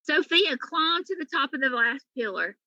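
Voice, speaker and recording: A woman reading a children's story aloud in an animated, emphatic way, like a teacher to pupils. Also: sits high, normal speed, no echo, lo-fi sound.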